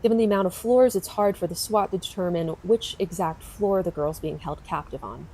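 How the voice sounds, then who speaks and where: Woman acting as tough female detective, lowish dark voice, dry, no-nonsense, audio drama. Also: a little fast, husky, rough recording. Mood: briefing, tense. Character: tough, wry female homicide detective, army veteran.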